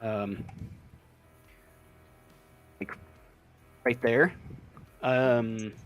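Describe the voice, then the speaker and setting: A man running a tabletop game as game master, narrating off the cuff and halting as he searches for words. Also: mid-range voice, fairly even intonation, faint music behind.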